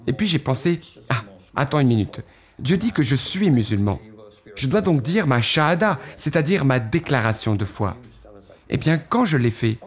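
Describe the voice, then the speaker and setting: Man for an earnest testimony read, mid-pitched, very animated intonation, dramatic pauses. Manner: earnest, recounting past thoughts with dramatic pauses. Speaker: guest giving a testimony. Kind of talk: first-person testimony storytelling.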